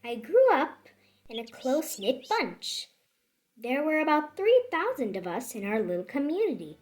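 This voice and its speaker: High-pitched woman reading a children's story. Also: very animated, normal speed, a little mellow. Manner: theatrical, emphatic. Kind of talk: expressive picture-book narration. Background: music.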